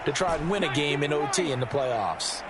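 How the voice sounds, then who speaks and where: A man's voice: a little high, animated, brisk, over a noisy crowd, very noisy, lightly acted. A man with an American accent explaining as an analyst in football video game commentary, emphatic and punchy. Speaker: sports analyst.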